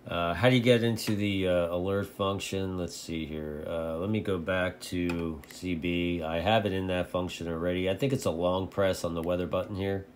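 A man vlogging casually about his hobby in a folksy, halting, repetitive way. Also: deep voice, fairly even intonation. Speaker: video host, radio hobbyist. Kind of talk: casual rambling vlog talk. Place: indoor home radio shack. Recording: clearly noisy home recording.

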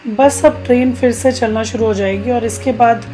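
A woman's voice: a little high, moderate pace, warm tone, soft background music, poor audio. A woman in her thirties from Uttarakhand, India, narrating a casual vlog in a chatty way, talking directly to viewers. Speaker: travel vlogger.

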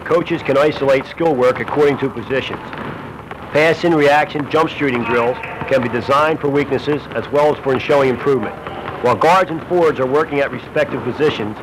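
A man's voice: mid-range voice, brisk. A man reading scripted narration for an instructional basketball film, as a shooting instructor. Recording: noisy old Super 8 film soundtrack.

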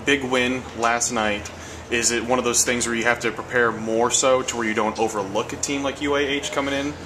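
A man asking a hockey player plain, brisk post-game questions as a sports radio interviewer. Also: mid-range voice, fairly even intonation, noisy background.

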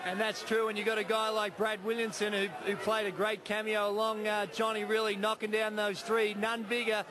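A man's voice: sits high, flat delivery, normal speed. A middle-aged man interviewing players courtside after a game, speaking energetically and raising his voice over the crowd on a handheld mic in an indoor arena. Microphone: handheld microphone. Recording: loud arena crowd noise.

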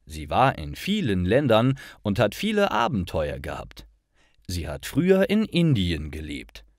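A man reading scripted stories for language learners, with careful, deliberate enunciation. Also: lowish voice, huge pitch swings, dry recording, clean studio sound. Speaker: language-course narrator.

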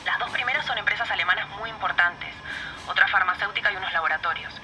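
Fast-talking Rioplatense woman on phone. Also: mid-range voice. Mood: serious, cautious. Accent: Rioplatense Spanish. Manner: businesslike, hurried. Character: Cristina, brisk, cautious woman researcher friend. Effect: phone call. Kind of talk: natural phone dialogue in an audio drama. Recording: phone call with clear ambient noise.

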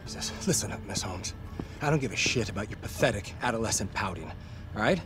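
A man's voice: fairly low pitch, expressive, fast talker, background noise, close to an everyday voice. A man playing a blunt, impatient government agent in a video game drama, stern and insistent. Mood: threatening. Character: hard-nosed, impatient male government agent.

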